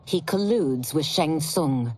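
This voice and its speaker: A woman's voice: low-pitched, animated, takes it slowly, a little mellow, slight background noise. An actress playing a secretive woman who once served a sorcerer, in a game cutscene. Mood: hushed. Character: hushed female former sorcerer's servant.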